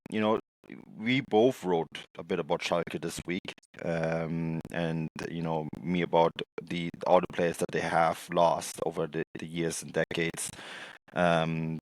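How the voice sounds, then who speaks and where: A man's voice: deep voice, no room sound, low-quality recording. A man hosting a football podcast, chatting casually with guests, laughing often and using lots of filler words. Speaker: podcast host.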